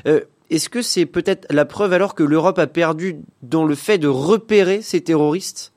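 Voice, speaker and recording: A man's voice: a little high, normal speed, darkish tone. A man hosting a radio interview, asking questions with frequent hesitant fillers. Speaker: radio host.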